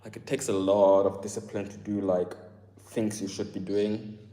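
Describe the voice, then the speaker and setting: A man's voice: on the low side, level tone, moderate pace, warm tone. A man with an accent that mixes Southern English, German and Ghanaian, chatting casually and rambling to his live-stream viewers. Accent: mix of Southern English, German and Ghanaian. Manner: rambling, full of fillers, addresses chat as bro. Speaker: livestream host.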